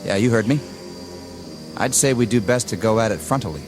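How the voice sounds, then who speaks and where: An American actor playing a gung-ho new lieutenant in a radio drama, clipped and commanding. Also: male voice, fairly low pitch, a little fast, over music, lightly acted. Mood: brisk, commanding. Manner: clipped, authoritative.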